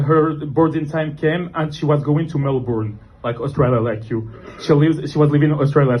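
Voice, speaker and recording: A young man from Morocco, doing a self-deprecating stand-up set in a comedy club, deadpan and halting with fillers. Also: a little high. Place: comedy club with live audience. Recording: noisy club recording. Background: audience laughter.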